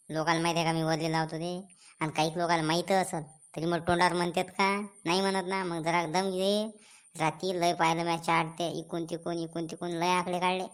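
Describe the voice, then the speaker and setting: A woman's voice: lowish voice, lightly acted. A woman voicing a fussy, pestering village man in a comedy cartoon, complaining colloquially in a Varhadi Marathi accent from Vidarbha.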